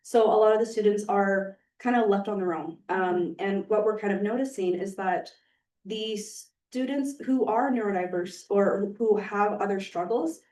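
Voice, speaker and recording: A woman from Alberta making an earnest, halting comment from the audience, with many fillers. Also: medium pitch, darkish tone. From Alberta, Canada. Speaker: audience member and community partner. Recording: roomy, distant room mic.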